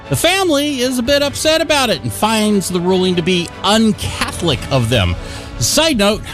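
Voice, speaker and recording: Animated radio DJ over a music bed. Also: medium pitch. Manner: jokey, playfully self-deprecating, theatrical emphasis. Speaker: radio DJ and host.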